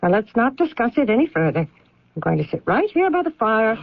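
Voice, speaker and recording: High, theatrical, imperious mother. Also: sing-song, brisk, no echo. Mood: brisk, dismissive. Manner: emphatic, domineering, theatrical. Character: imperious, possessive mother of grown sons. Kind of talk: 1970s radio drama acting. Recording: dull vintage radio broadcast.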